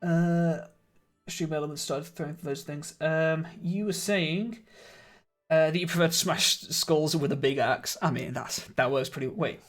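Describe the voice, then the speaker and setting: A man streaming a game live, chatting casually with viewers and laughing often, his voice a little croaky. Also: a little high, measured pace, faint music behind. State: slightly croaky voice. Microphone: studio microphone.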